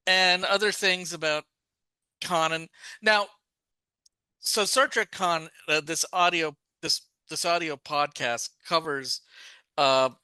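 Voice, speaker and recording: A man's voice: a little high, fairly even intonation, slow pace, sharp tone, clear, resonant tone, dry recording. A man co-hosting a podcast, chatting casually and rambling through thoughts with many restarts and asides. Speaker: podcast co-host. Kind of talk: casual discussion.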